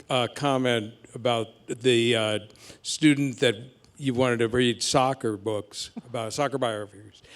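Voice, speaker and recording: Smooth-voiced man with halting delivery. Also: mid-range voice. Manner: hesitant, halting. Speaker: audience questioner. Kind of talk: spontaneous question at a public forum.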